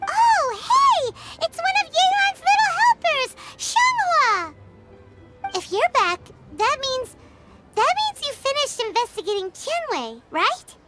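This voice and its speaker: A woman voicing a small, perky, childlike fairy companion in a video game, with squeaky, exclamatory delivery. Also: big pitch swings, slow, exaggerated voice. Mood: excited. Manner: exclamatory, refers to self in third person. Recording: loud game music behind the voice. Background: game music.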